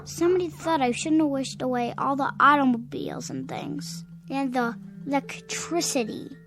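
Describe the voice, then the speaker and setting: A woman's voice: sits high, moderate pace, fairly bright tone, soft background music. A woman playing a sulky, menacing little boy in a radio drama, speaking in a blunt, childish manner. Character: petulant, menacing little boy with godlike powers.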